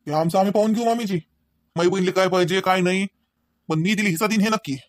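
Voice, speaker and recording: Guy doing angry proud cartoon village husband, varhadi marathi accent, high voice, talks fast, scolds. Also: dry recording, studio quality, natural voice. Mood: stern, defiant. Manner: scolding, firing off rhetorical questions. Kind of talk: amateur comedy cartoon dialogue.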